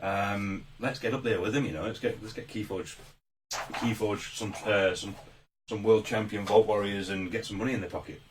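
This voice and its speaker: A man's voice: on the low side, steady tone, normal speed. A man with a Yorkshire accent, co-hosting a casual live stream and rambling between laughs. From England. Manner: chatty, rambling, laughs often. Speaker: co-host and tournament judge. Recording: distant mic with faint room noise.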